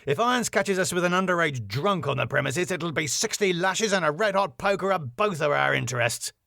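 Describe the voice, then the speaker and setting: Man performing a pompous male manager, sitcom script, flustered, indignant, high-pitched, very dry sound. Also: lively intonation, fairly quick, creaky, sharp tone, no echo, full character voice. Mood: exasperated, panicky. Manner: flustered, indignant, prim exclamations. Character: fussy, panicky, pompous male club manager.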